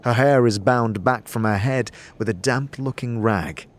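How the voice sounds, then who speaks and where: A man narrating a fantasy audio drama as game master, in a measured, deliberate and dramatic storytelling delivery. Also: mid-range voice. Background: faint music.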